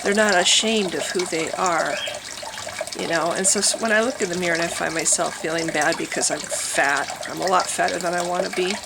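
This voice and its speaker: Vlogger: nasal-voiced middle-aged woman, candid rambling monologue, trickling water clearly behind. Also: lowish voice, fairly even intonation, fairly quick, very noisy. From Wisconsin, USA. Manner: rambling, candid, thinking aloud with many restarts. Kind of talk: personal monologue to camera. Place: her home with water fountains running.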